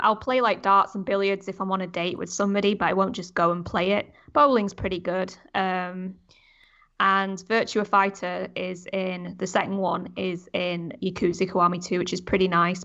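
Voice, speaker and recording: A woman's voice: mid-range voice, steady tone, normal speed, fairly bright tone. A woman on a podcast panel, talking casually about games in a conversational way. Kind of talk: casual gaming discussion. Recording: dull, band-limited voice audio.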